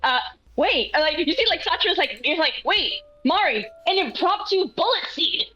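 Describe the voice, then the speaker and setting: A woman playing a tabletop role-playing game, improvising her character's actions and lines with halting, hesitant phrasing. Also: extremely high pitch, sing-song, pushed and bright, dull audio. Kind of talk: casual improvised role-play narration.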